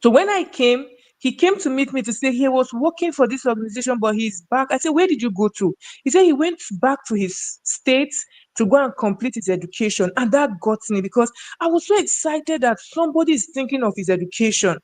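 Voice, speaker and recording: A woman's voice: high voice, animated, a little fast, a little mellow, poor audio. A woman with a Nigerian accent telling stories and giving opinions in a discussion, emphatic and fond of rhetorical questions. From North Central Nigeria. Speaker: human resources professional, talk show guest. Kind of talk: conversational storytelling and opinion in a discussion.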